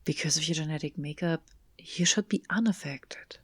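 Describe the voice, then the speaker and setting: A woman playing a guarded, soothing police detective in an audio drama, with a breathy, often whispery and measured delivery. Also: low-pitched, no echo, studio quality. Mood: hesitant, probing. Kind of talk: hushed audio drama acting.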